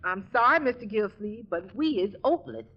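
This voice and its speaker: An actress playing a good-natured, deferential housekeeper in a 1940s radio comedy, folksy and husky. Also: female voice, medium pitch, animated, brisk, close to an everyday voice. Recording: dull band-limited 1940s radio recording.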